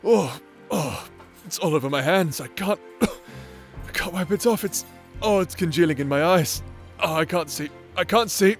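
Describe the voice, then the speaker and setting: A man's voice: fairly high pitch, a little slow, over music. A man playing an affectionate, playfully wicked necromancer husband in a theatrical, tender ASMR roleplay. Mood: flustered. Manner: tender, teasing, theatrical, often chuckling. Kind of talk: ASMR romantic roleplay audio drama.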